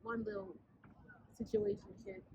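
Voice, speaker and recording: A woman, chatting bluntly and animatedly in a casual vlog, a little raspy from sinus trouble, filmed outdoors on a city street by a camera on a tripod. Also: medium pitch, measured pace. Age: 20s. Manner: blunt, animated, swears freely. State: sinus congestion, slightly raspy. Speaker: vlogger. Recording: dull street recording with city noise.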